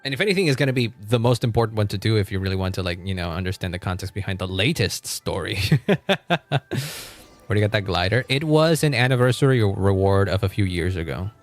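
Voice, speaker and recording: A man's voice: on the low side, animated, fast talker, soft background music. A man livestreaming a game, chatting casually with viewers in a rambling, informal way with some mild swearing.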